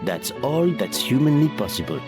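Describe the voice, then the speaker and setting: An actor voicing an earnest, reassuring male restaurant worker in a video game, explaining things in a measured way. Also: mid-range voice, very animated, music in the background, lightly acted. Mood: encouraging.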